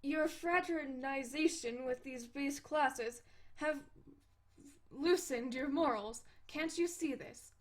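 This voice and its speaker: Woman playing a stuffy snob boy, condescending and formal, voice still high. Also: takes it slowly. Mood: lecturing. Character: haughty stiff young male troll, snobbish.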